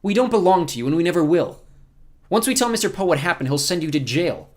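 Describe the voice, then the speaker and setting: Storybook boy orphan: earnest, defiant, pitched high, lively, voiced by a man. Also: fast. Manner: indignant, earnest. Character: earnest, indignant, brave young orphan boy.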